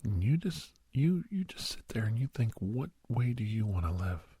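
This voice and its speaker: A man playing a calm, earnest doctor counseling a young werewolf in an ASMR role-play, speaking in a hushed, breathy, often whispered voice with a slow, reassuring manner. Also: mid-range voice, no room sound, exaggerated voice.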